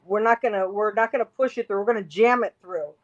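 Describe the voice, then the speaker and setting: A woman with a raspy voice, talking emphatically as an interview guest, rambling and restarting her sentences. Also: medium pitch, fast talker, dull audio. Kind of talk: casual interview talk.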